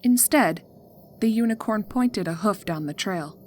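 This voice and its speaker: A woman narrating a scripted horror story in a measured, atmospheric storytelling manner. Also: mid-range voice, animated, darkish tone, slight background noise.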